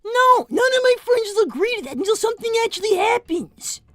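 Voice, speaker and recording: Woman voicing a very high-pitched young boy fox. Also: very animated, a little fast, fairly bright tone, dry recording, full character voice. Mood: exasperated, protesting. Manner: earnest, hesitant, exasperated. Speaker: character performer on a livestream. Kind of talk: improvised in-character conversation.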